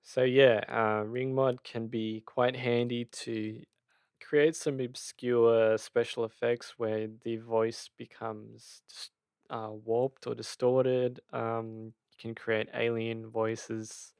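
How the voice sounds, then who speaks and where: A man giving an informal software tutorial, hesitant and thinking aloud as he explains. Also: medium pitch, flat delivery, slow, gravelly, clean tone, dry recording. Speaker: tutorial host.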